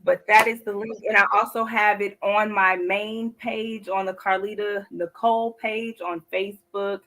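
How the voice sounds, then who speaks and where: Chatty lady cohost on a sports talk show, laughs a lot, mid pitch. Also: fairly even intonation, fairly bright tone, poor audio. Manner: chatty, laughs often, drawn-out asides. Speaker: co-host.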